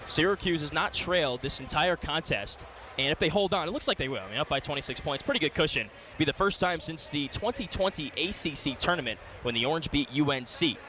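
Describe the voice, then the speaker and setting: A man's voice: a little high, animated, brisk. A man calling a basketball game live on radio as play-by-play announcer, in clipped, descriptive phrases. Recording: loud arena noise behind the voice. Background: gym ambience, bouncing basketballs.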